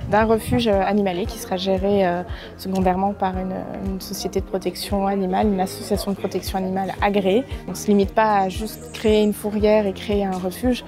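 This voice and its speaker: A woman's voice: medium pitch, flat delivery, quick pace, over music. A woman acting as a project spokesperson, explaining things to the press hesitantly and correcting herself.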